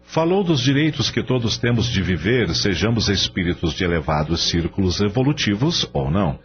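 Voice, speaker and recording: A man's voice: on the low side, big pitch swings, normal speed. A man narrating a scripted radio novela in a dramatic storytelling style. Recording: slightly dull radio-style audio. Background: music.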